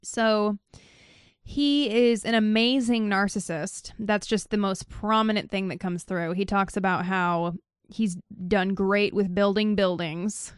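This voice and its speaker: A woman's voice: medium pitch, fairly even intonation, a little slow, clean tone, dry recording, studio quality. A woman co-hosting a podcast, mocking and critical in casual political chat. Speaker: podcast co-host.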